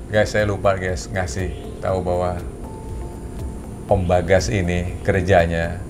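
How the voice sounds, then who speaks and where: A man hosts a casual chat. He talks in an animated, colloquial way and drops in English aviation terms. Music plays clearly behind his voice. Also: sits low, slow. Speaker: host, a pilot. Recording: music playing behind the talk. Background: background music.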